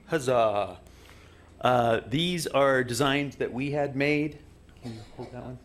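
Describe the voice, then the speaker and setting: A man of middling pitch talks up his shop's shirts at a council meeting. He is upbeat and promotional. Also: takes it slowly. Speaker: shop owner. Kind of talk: public comment.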